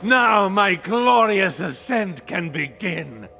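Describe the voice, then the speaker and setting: Sharp gravelly undead knight, arrogant, pitiless, grandiose, fantasy game villain, forceful delivery. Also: male voice, high voice, sing-song, slow pace, soft background music, heavily acted. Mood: menacing triumph. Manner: grandiose, menacing theatrical proclamations.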